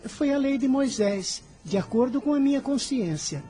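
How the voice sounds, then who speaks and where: A woman's voice: high-pitched, moderate pace. A woman with a Brazilian accent playing a kind, solemn, pious young boy in a radio drama. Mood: earnest. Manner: solemn, caring, expressive. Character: earnest, kind, pious boy apostle, gently protective. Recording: music under the voice.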